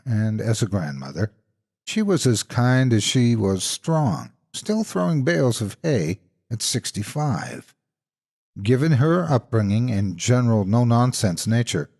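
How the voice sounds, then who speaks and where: Expressive older male storyteller, lowish voice. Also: husky. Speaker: horror story narrator and channel host. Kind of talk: scripted dramatic storytelling narration.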